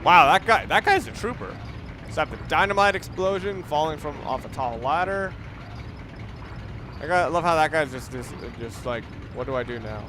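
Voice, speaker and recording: A man's voice: on the high side, lively intonation, moderate pace. A man giving off-the-cuff, rambling walkthrough commentary on a video game, instructive and often correcting himself. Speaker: gamer giving a let's play commentary. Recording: noisy, game gunfire behind. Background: video game ambience and gunfire.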